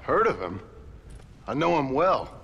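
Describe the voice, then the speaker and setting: A weary frontier gunman in a Western video game. His mid-pitched American delivery is terse, dry and sardonic, with faint ambience behind it. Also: very animated, unhurried, creaky, fairly bright tone, lo-fi sound, slight background noise, heavily acted. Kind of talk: video game cutscene acting.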